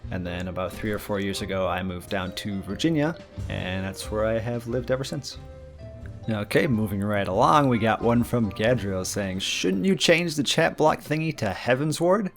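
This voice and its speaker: A man's voice: lowish voice, animated, moderate pace, soft background music. A man, chatting casually and rambling a bit as he answers viewer questions and covers game news. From Minnesota, USA. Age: mid-20s. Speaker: gaming channel host.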